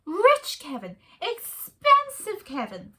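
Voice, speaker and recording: A lovestruck little male meerkat from a storybook, as a woman puts him on, squeaking and gushing at a bright, high pitch. His voice leaps about wildly and often rises to a shout. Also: huge pitch swings, takes it slowly, full character voice. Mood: excited.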